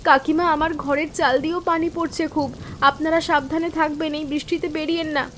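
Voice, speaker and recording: A woman playing a timid, earnest young sister-in-law in an animated story, with an anxious, pleading and deferential manner. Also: high voice, fast, slight background noise. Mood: concerned.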